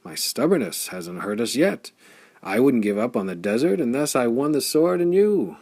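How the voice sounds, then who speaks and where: A man's voice: medium pitch, very animated, normal speed, darkish tone, pitched above the performer's own voice. A man voicing Flame, a grim, stubborn male sword hero, speaking in firm, determined lines in an audiobook.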